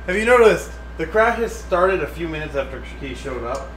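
A man's voice: a little high, expressive, normal speed, a bit bright. A drunk man bantering casually on a livestream, joking and swearing as he goes. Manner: tipsy, profane joking. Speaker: livestream co-host. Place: indoors in a home kitchen. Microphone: distant room mic. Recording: roomy, distant mic, faint noise.

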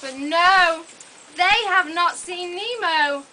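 A woman's voice: very high-pitched, slow pace, bright, forceful tone. A woman telling a story live to young children in short simple phrases, exclamatory, with questions to her audience. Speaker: puppet show narrator. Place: open-sided thatched hall with audience of children. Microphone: camera microphone from audience. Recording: noisy open-air hall recording.

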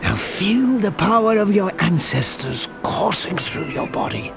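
A man playing a wise, solemn old dragon mentor in a video game, gravelly, with a slow, measured and grave delivery. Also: high voice, very animated, exaggerated voice. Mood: hushed, rising intensity. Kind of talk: dramatic video game cutscene acting. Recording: music behind the voice.

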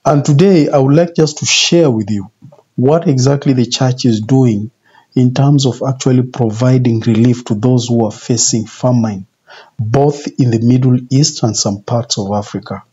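Husky, mellow middle-aged man preaching. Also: mid-range voice, normal speed. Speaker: religious video presenter. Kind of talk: informal sermon, retelling scripture. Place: small indoor office. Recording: muffled, dull home video audio.

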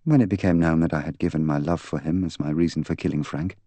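Audiobook role: proud young woman, bitter and candid, intense delivery, low voice performed by a man. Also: steady tone, quick pace, mellow, dry recording. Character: proud, bitter young woman confessing to killing her fiancé. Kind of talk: audiobook character dialogue.

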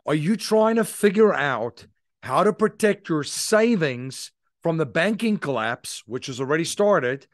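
A man's voice: high-pitched, no room sound, clean studio sound. A man in his thirties hosting a podcast, asking questions and reading a sponsor message in an earnest, emphatic way. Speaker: podcast host. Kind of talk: interview questions and scripted ad read. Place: room with gray backdrop.

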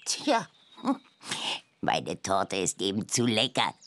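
A woman's voice: extremely low pitch, sing-song, slow, a bit bright, heavily acted. An actress playing a fussy, friendly witch aunt in a children's cartoon game, with a theatrical, flustered manner. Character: fussy, friendly middle-aged witch auntie.

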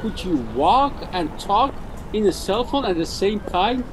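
High-pitched, animated male interviewer. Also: measured pace, noisy background. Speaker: interviewer, journalist. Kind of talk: conversational interview.

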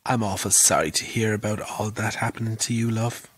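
An actor with an Irish accent playing a gossipy, superstitious pantomime dame performer, theatrical and exclamatory, in an audio drama. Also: male voice, lowish voice, flat delivery, brisk, husky, no echo. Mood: sympathetic, chatty. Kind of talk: naturalistic audio drama acting.

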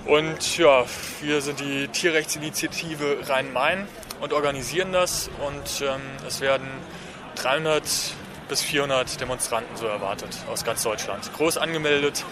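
A man's voice: medium pitch, moderate pace. A man giving an informal, colloquial and somewhat hesitant interview as an activist, recorded outdoors. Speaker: animal rights activist being interviewed. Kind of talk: casual, informal spoken interview. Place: outdoors at a city square. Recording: noisy outdoor street recording.

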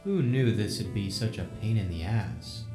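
A man's voice: fairly low pitch, expressive, normal speed, over music, lightly acted. A man voicing a young wandering warrior in a comic dub, easily startled, sarcastic and exasperated in a casual way. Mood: dry, exasperated. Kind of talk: animated comic dub acting.

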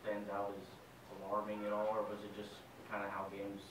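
A man's voice: lowish voice, completely flat delivery, fairly quick, distant mic. A male reporter asking long, rambling questions full of fillers at a press conference. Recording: echoey room, from off-mic, noisy.

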